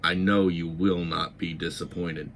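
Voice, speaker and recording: A man's voice: low-pitched, flat delivery, measured pace, gravelly, a bit bright, a little hiss or hum. A middle-aged man hosting a toy model channel, moving from scripted history narration into an enthusiastic, casual product review. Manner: enthusiastic, emphatic repetitions.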